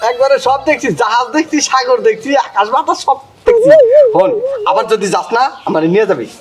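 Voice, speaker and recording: Casting: actor as comic, simple village dad, Bangladeshi accent, highish lively pitch, quick talker. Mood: excited, amazed. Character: simple, excitable village father, comic and easily amazed. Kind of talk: rural Bengali comedy drama acting.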